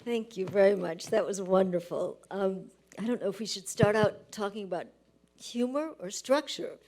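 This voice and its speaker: A woman's voice: mid-range voice, moderate pace, darkish tone. A woman interviewing on stage at a literary event, searching hesitantly for her words. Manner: hesitant, searching, repeats word starts. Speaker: interviewer, author and professor. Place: live stage event before an audience.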